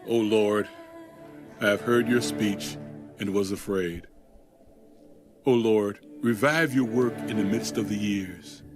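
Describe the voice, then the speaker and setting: A man giving a solemn, dramatic scripture reading in a measured, weighty, declamatory manner. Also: lowish voice, fairly even intonation. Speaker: audio Bible reader. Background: music under the reading.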